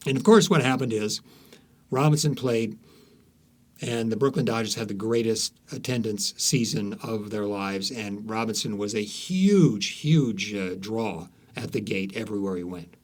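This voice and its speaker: Low-pitched man, halting conversational storyteller. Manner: halting, searching for words, emphatic repetition. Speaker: author, interview guest.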